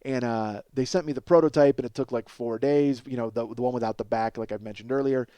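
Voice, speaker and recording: A man's voice: medium pitch, steady tone, quick pace, warm tone, clear, resonant tone, no echo. A man in his forties hosting a comedy podcast, telling a rambling, self-deprecating story with profanity and acted-out dialogue. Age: 40s. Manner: rambling, self-deprecating, acts out both sides of conversations. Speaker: podcast host.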